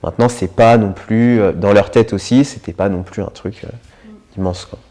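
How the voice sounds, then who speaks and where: Soft-toned reflective young man. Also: lowish voice. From Paris region, France. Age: 20s. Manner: reflective, hesitant, frequent filler pauses. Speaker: interviewee sharing his experience with illness. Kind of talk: personal testimony interview.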